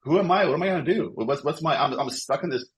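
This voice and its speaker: A man's voice: a little high, fast. A man in his fifties, a podcast guest talking about films with energy and enthusiasm, often repeating words as he goes. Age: 50s. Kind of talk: casual film podcast chat.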